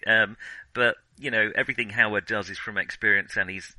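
A man's voice: fairly low pitch, steady tone, fairly quick, bright, forceful tone, no echo, low-quality recording. A man co-hosting a film podcast, talking casually and hesitantly as he corrects himself.

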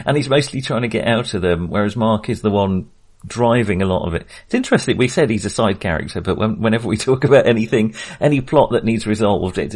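A man in a casual film discussion, thinking aloud in a hesitant way and correcting himself as he goes. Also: fairly low pitch. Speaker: film podcast host.

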